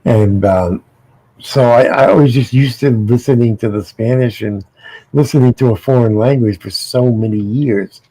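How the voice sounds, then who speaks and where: A man's voice: mid-range voice, warm tone, dry recording, rough recording. A middle-aged American man, co-hosting a casual panel chat, rambling and repeating his words. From United States. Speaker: co-host.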